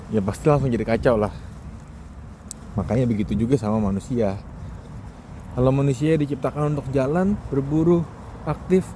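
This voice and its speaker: Clear outdoor traffic noise surrounds a youngish man. He speaks at an ordinary mid pitch, talking to listeners in a loose, conversational way. It is a casual solo ramble, self-deprecating and full of rhetorical questions. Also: warm tone. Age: 20s or 30s. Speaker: solo podcast host. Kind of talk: casual rambling monologue while walking. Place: outdoors, walking along a street. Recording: outdoor urban noise, passing vehicles. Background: clear traffic and ambient noise.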